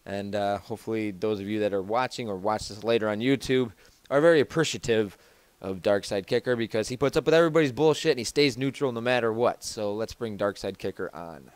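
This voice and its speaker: A man's voice: on the low side, fairly quick, clear, resonant tone, no echo. A man hosting a casual podcast from home over a voice call, rambling with lots of fillers and restarts. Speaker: podcast host.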